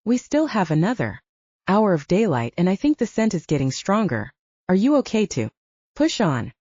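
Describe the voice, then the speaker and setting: A woman's voice: medium pitch, sing-song, moderate pace, a little mellow, husky, dry recording. A woman voicing an earnest, self-assured male professor who is a werewolf, in audiobook dialogue. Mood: sincere. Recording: dull, lo-fi audio.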